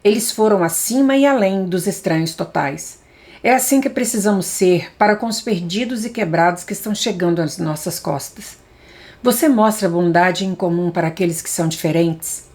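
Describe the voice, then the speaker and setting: Brazilian woman reading aloud, lowish voice. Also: moderate pace, a little mellow. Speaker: audiobook reader.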